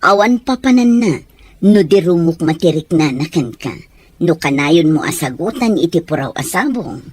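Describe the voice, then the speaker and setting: Low, husky Filipino madam played by an actress. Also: female voice, lively intonation, moderate pace, a little mellow. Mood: knowing, amused. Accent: Filipino, Ilocano. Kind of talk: Ilocano radio-style drama acting.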